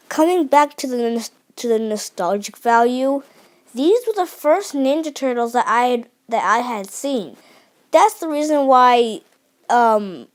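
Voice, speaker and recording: A young woman giving an unscripted, enthusiastic review of a cartoon series, often restarting her sentences and filling pauses. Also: fairly high pitch. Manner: enthusiastic, many restarts and fillers.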